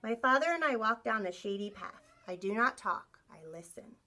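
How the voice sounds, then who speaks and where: A woman reads a children's picture book aloud like a teacher with young listeners. Her voice is bright and fairly high, with very lively swings in pitch. She tells it expressively and plays up the sound words. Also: moderate pace. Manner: animated, acts out sound effects, asks listeners questions. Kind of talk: expressive read-aloud storytelling.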